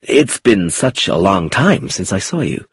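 Man as courteous husky-voiced charming suitor. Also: sits low, big pitch swings, measured pace, dry recording, heavily acted. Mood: pleased. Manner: courteous, flirtatious. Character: handsome charming male suitor, courteous and smooth-mannered. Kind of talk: audiobook character voice.

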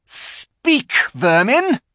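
A cruel witch queen from a storybook, as a male reader puts her on, harsh and commanding. She speaks high and loud, and her voice swings sharply up into shrieks. The sound is close and dry, with no room around it. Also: sing-song, extremely slow, dry recording, heavily acted. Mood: imperious, furious.

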